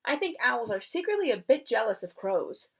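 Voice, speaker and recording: A woman's voice: on the high side, big pitch swings, moderate pace, slightly rough, full character voice. Woman voicing a skeptical, dismissive storybook owl.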